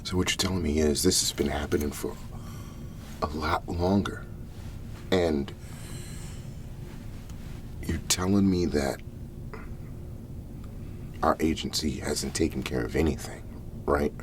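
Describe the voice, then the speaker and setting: A man playing an apologetic agency investigator in an ASMR roleplay, soft-spoken, hesitant and formally measured. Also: a bass voice, a little hiss or hum, voice pushed down. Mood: taken aback. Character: apologetic, taken-aback male agency investigator on a case.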